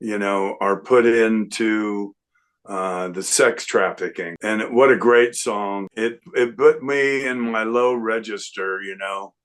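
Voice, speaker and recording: An older man, a guest singer, chatting casually in an unhurried, rambling way with lots of fillers. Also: fairly low pitch, clean tone. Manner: breaks into sung snippets. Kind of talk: casual interview chat.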